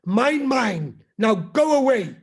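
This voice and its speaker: A man's voice: very high-pitched, very animated, takes it slowly, husky, voice pushed up, exaggerated voice. A man doing a shrieking, bossy little bird. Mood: angry. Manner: shrieking, shouting.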